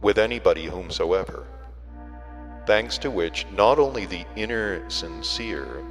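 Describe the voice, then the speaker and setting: Deep male audiobook reader, deliberate w lots of pauses, music behind him. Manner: deliberate phrasing with frequent pauses. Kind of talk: scripted literary narration. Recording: reverberant hall sound with music.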